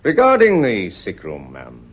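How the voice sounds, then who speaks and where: An actor playing a middle-aged British police inspector in a radio play, folksy and deferential yet quietly probing. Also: male voice, lowish voice, wildly swooping pitch, unhurried, slightly rough, full character voice. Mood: genial, probing. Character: plodding, polite, persistent police inspector with folksy patter. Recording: muffled old radio drama recording.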